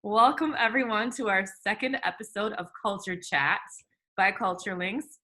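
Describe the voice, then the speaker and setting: A woman's voice: mid-range voice, moderate pace, pushed and bright. A woman hosting a casual interview in a playful, upbeat, chatty manner. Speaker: host.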